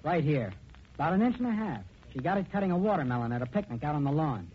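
An actor playing a plain-spoken, evasive young chauffeur with a folksy, informal way of talking in a 1940s radio drama. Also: male voice, a little high, very animated, fast, husky. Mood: nervous, insistent. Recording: dull 1940s radio recording.

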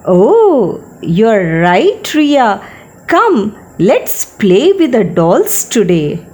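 A sweet, playful little girl from a storybook, voiced by a woman. She talks very slowly in a high, lilting sing-song. Her delivery is wide-eyed and doting, like a younger sister with her doll. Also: huge pitch swings, darkish tone, exaggerated voice. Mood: eager. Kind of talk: children's story dialogue.

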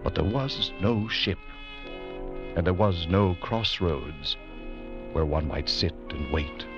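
A man narrating an old radio adventure drama as a grave storyteller, in a measured, dramatic way. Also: deep voice, music in the background. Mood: grave, suspenseful. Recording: dull vintage radio recording.

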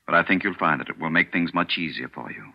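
Sinister calm doctor, very deep voice, unhurried, muffled old radio sound. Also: male voice. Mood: coolly menacing. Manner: smooth, unhurried, quietly menacing. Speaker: doctor character. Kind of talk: 1940s radio acting. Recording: dull lo-fi old radio broadcast.

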